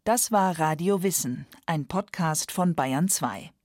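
A woman announcing the credits of a radio podcast in a crisp, even readout. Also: deep voice, takes it slowly, no echo, clean studio sound.

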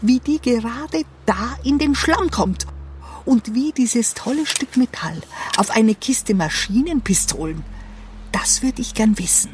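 An actress playing a sharp, menacing police investigator in a radio drama, condescending and sardonic. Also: female voice, on the high side, animated, a little slow, a little hiss or hum. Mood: sardonic, threatening.

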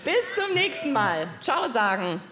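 A woman with a fairly high, very lively voice moderates a children's podcast on stage. She addresses the audience directly with questions. The room is echoey, with faint noise. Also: unhurried, over loud music, over a noisy crowd. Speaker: children's science podcast host. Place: indoor live podcast festival stage.

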